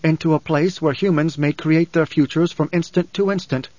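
A resolute young son and desert prophet played by a male audiobook reader speaks with grave, measured certainty. His voice sits a little high and never bends in its solemn manner. It has the dull, echoey sound of an old cassette. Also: fairly even intonation, a little mellow, lightly acted. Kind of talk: audiobook character acting.

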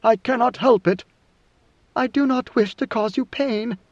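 A man's voice: high voice, moderate pace, darkish tone, no room sound, muffled recording, pitched above the performer's own voice, lightly acted. A man voicing a devoted, anxious young woman in a storybook reading, earnest, pleading and deferential.

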